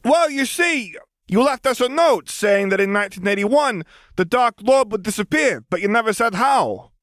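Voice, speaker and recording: A man's voice: high voice, lively intonation, normal speed, fairly bright tone, dry recording, clean studio sound, voice pushed up. A man reading aloud, voicing an awkward, naive young male vampire who explains things hesitantly. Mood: explaining.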